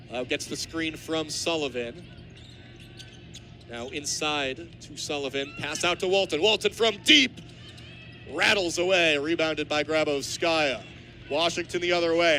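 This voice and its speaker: A man's voice: fairly high pitch, bright, forceful tone. A man in his twenties calling live basketball play-by-play on the radio. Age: 20s.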